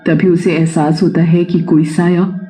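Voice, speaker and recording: A man's voice: fairly high pitch, little pitch movement, unhurried, warm tone, soft background music. A man reading a scripted horror story as its storyteller.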